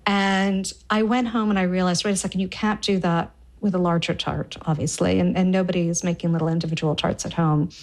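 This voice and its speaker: A woman's voice: on the low side, level tone, fairly quick, hardly any pauses, poor audio. A woman cookbook author talking enthusiastically about a recipe in an interview. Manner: enthusiastic, repeats words while thinking. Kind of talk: casual interview.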